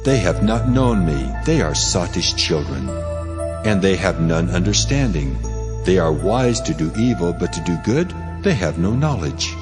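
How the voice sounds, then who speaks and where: A man's voice: deep voice, big pitch swings, a little slow, over music. A man playing a stern God pronouncing judgment in a dramatized scripture reading, solemn and commanding, in long reverb. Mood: stern reproach.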